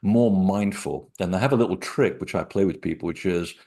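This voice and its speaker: A man from Portugal, a guest author, telling digressive stories in an animated way from his small apartment living room over a computer call. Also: low voice, a little fast. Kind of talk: rambling storytelling interview.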